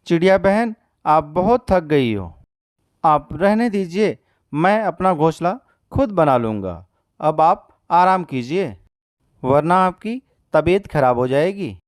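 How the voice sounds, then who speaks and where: A man voicing a kind male crow in a cartoon story, speaking softly and earnestly. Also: fairly high pitch, sing-song, slow pace, dry recording, studio quality. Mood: concerned. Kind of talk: Hindi cartoon story voice acting.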